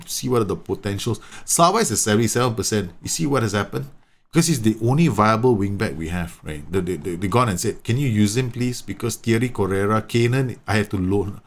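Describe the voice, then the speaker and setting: A man's voice: on the low side, vocal fry. Chatty man streaming. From Singapore. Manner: chatty, repeats words, explains tactics at length. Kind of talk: live gameplay commentary and chat.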